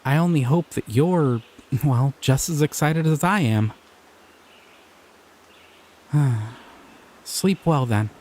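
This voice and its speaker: A young man voicing a shy, excitable male slime creature in an audio roleplay, talking in an earnest, flustered, giggly way. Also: fairly high pitch, slow pace, pauses often. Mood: dreamy. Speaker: voice actor.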